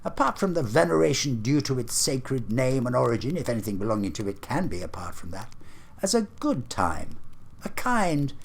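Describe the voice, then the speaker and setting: A man plays a cheerful young gentleman from a Victorian storybook. His pitch lifts and swoops with good humour, earnest and warm-hearted, over a slightly husky tone. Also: sing-song, normal speed. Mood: earnest, pleading.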